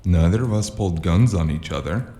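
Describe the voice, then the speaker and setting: An actor playing a weary, sardonic noir investigator with a gravelly voice and a dry, deadpan, clipped delivery. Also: male voice, low-pitched, a little fast, lightly acted. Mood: dry, guarded. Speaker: lead actor and narrator. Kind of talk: noir audio drama acting and narration.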